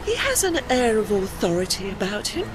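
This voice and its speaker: A woman's voice: medium pitch, big pitch swings, normal speed, music in the background, close to an everyday voice, rough recording. A woman playing a proud, worn old female warship with formal, dignified speech in an audio drama. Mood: wistful, dignified.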